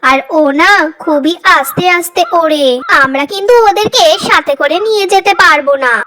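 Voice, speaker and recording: Very high-pitched cartoon bird lady, voiced by a woman. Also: normal speed, sharp tone. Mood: complaining. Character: female storybook birds, mothers and neighbours, expressive and fussy. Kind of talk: children's cartoon voice acting.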